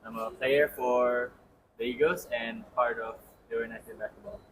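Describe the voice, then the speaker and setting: A man's voice: medium pitch, normal speed. A young man from Indonesia, a college basketball player, talking in a laid-back, slightly unsure way. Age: young adult. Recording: distant mic with noise.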